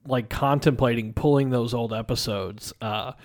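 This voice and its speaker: A man with a slightly raspy voice hosting a podcast in a casual, joking way. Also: medium pitch, steady tone, moderate pace. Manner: joking, teasing. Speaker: podcast host.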